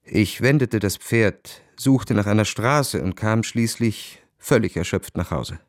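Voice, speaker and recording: A man's voice: fairly low pitch, a little slow, no room sound, studio quality, close to an everyday voice. An actor playing a proud, world-weary young Russian officer who narrates his own story dramatically in a radio play. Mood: weary, reflective.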